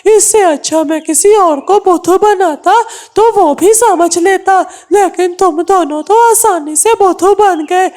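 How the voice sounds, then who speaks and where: A woman voicing a mocking, mischievous male crow in a children's cartoon, with a taunting, drawn-out delivery. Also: very high voice, a little mellow. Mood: gleeful taunting. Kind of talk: Hindi kids' cartoon dubbing.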